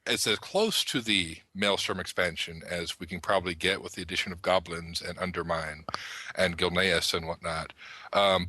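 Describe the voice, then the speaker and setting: A man's voice: low voice, pushed and bright, dry recording. A man talking casually and explaining things articulately, as a panelist on a gaming podcast.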